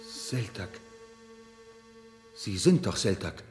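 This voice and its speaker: An actor playing a wondering, uneasy newcomer to a space city in a radio play, hesitant and reflective. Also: male voice, lowish voice, very animated, slow pace, a little mellow, soft background music. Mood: stunned, doubting.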